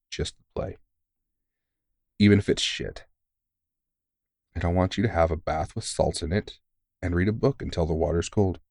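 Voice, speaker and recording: A man's voice: low voice, a little mellow, dry recording, clean studio sound. A man playing a big veteran vampire hunter in an intimate audio roleplay, talking bluntly and sweary, yet earnest and reassuring. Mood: encouraging, warm. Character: big, gruff but caring veteran male vampire hunter, mentoring.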